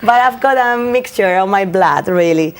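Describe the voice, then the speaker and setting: A woman's voice: mid-range voice, moderate pace. A young woman lawyer from Spain with a Spanish accent, speaking English as a second language and answering interview questions with many fillers and restarts. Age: young adult. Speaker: lawyer guest.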